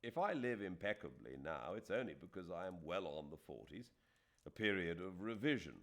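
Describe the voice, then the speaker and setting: A man voicing an earnest, self-deprecating middle-aged Englishman, speaking a letter aloud in an emphatic, measured way. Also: sits low, lively intonation, fairly bright tone. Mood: earnest, wry.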